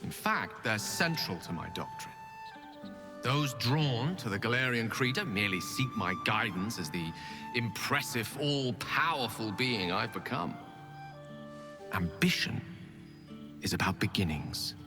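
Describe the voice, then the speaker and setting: An actor playing a proud, newly ascended wizard god of ambition, pompous and eloquent, in a dramatic video game. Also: male voice, on the low side, lively intonation, measured pace, long reverb tail, over music. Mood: grandiose, then impassioned, proud.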